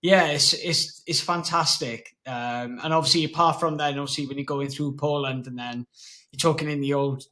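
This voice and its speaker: A man's voice: fairly high pitch, steady tone, moderate pace, pushed and bright. A man hosting an interview podcast, chatty and rambling, with lots of fillers. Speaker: podcast host. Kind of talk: casual interview chat.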